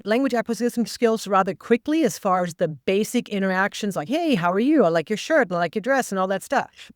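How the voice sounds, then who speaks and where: A woman whose first language is not English, guesting on a podcast and telling her story in a lively way with quoted asides. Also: fairly high pitch, dry recording, clean studio sound. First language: non-English first language. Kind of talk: conversational interview.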